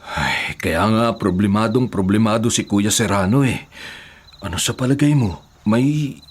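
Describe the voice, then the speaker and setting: Husky, low-voiced soft-hearted husband. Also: male voice, unhurried. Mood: troubled. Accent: Filipino. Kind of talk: Filipino radio drama acting.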